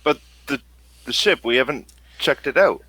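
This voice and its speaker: A man improvising narration as a game master, haltingly and searching for words. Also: medium pitch, expressive, sharp tone, breathy edge, dry recording.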